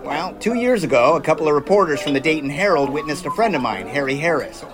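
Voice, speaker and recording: A man's voice: a little high, expressive, quick pace, over music, close to an everyday voice. A man playing a confident, folksy 1920s test pilot telling of flying in an audio drama. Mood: breezy, proud. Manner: folksy, assured storytelling. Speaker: pilot character. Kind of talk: scripted audio drama dialogue.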